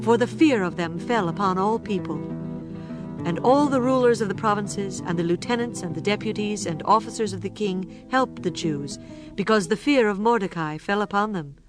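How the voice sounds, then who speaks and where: Female scripture narrator: formal, measured storytelling, mid-range pitch, gentle guitar bed underneath.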